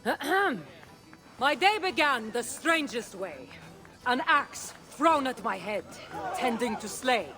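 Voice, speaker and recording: A witty, self-assured female warrior of the ancient world. She speaks slowly with a wry, measured delivery. It is game cutscene acting, with music clearly behind her. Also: a little high, big pitch swings, bright, forceful tone, some chatter behind, heavily acted. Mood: grand reciting.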